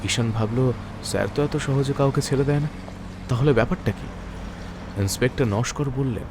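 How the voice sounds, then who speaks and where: A man's voice: medium pitch, normal speed. A man tells a scripted crime story as narrator. His voice sits in the middle range, with a dramatic storytelling delivery. Slight noise is heard behind him.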